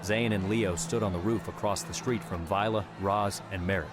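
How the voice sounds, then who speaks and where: A man narrating an audiobook in a measured, steady reading. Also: low-pitched. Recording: narration over background music. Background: music.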